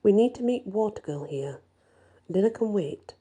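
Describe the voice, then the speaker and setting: A woman's voice: mid-range voice, lively intonation, normal speed, mellow, breathy edge, voice pushed up. A woman voicing a bold, stubborn young female werewolf heroine in a fantasy story, with firm, wry delivery.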